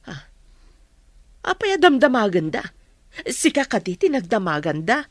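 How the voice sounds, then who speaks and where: Casting: wary, anxious village woman, highish and very animated, radio drama. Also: normal speed, no room sound. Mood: suspicious, alarmed. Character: suspicious, anxious village woman, sharply questioning. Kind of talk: Filipino radio drama acting.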